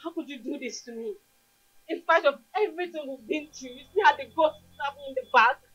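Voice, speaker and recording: A woman's voice: extremely high pitch, normal speed. An actress playing a betrayed young woman, tearful and accusing. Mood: wounded. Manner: tearful, accusing, shouting at times. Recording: dull lo-fi film audio with music.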